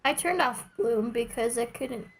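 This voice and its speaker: A woman doing casual, chatty livestream commentary while playing a game, playful and quick to swear. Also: fairly high pitch, vocal fry, breathy edge. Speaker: game streamer.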